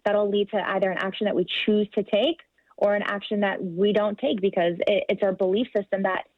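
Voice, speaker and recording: A woman's voice: mid-range voice, level tone, fairly quick, no room sound, narrow, tinny audio. A woman, American-born, speaking as a guest in a podcast interview in an earnest, articulate and motivational way. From United States.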